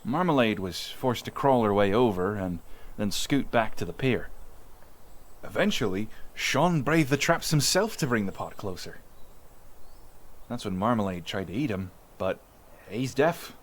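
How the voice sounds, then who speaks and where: A man's voice: lowish voice, unhurried. A man playing a chatty young villager who fondly recounts sea monster tales in an informal, rambling audio roleplay. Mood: amused, admiring. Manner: informal, animated, rambling witness account with hesitations. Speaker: voice actor. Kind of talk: in-character audio roleplay storytelling, conversational.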